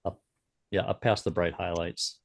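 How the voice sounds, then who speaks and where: A man with a low voice gives photo critiques in casual club chat. He stammers now and then as he directs where to crop. The sound is close and dry. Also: flat delivery, normal speed, gravelly, no room sound. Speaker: camera club member giving critique.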